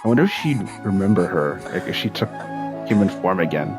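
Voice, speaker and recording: A man with a deep voice casually comments as he plays a game. He sounds like a streamer reacting off the cuff. Music from the game is clearly audible behind him. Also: sing-song, a little fast.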